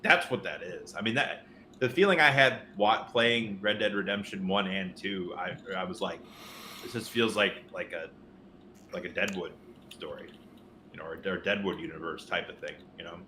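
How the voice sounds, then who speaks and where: A man with a fairly low but sharp, bright voice rambles through casual podcast discussion. He speaks emphatically, halting and rephrasing as he goes. The recording is noticeably roomy and echoey. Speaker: podcast co-host. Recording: roomy echo, faint noise.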